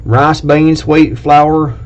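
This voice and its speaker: A man's voice: on the high side, steady tone, drawn-out words. A man with an Appalachian accent who hosts a prepping channel, talking casually to camera in a blunt, plain-spoken and rambling way. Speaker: prepping video host. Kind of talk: casual talk to camera, reading a list. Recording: dull lo-fi recording.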